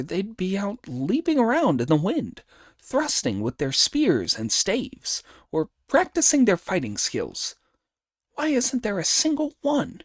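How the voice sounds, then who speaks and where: A man voicing a brash, boastful, excitable monkey hero in a storybook reading, cocky and exclamatory. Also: fairly high pitch, no room sound, studio quality, voice pushed up, heavily acted. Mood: puzzled, exclamatory. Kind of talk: storybook character dialogue.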